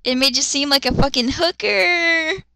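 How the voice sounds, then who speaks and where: A woman's voice: sits high, normal speed, gravelly, bright, forceful tone. A woman giving a dramatic reading with exasperated, sarcastic, foul-mouthed asides. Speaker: host of dramatic fan fiction readings.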